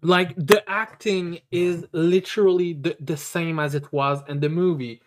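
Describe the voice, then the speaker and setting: A casual podcast host with a slow, halting delivery. Words get repeated and stammered as the host enthuses, with emphatic, excitable swearing thrown in. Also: medium pitch, background noise. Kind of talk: casual chat, enthusiastic review.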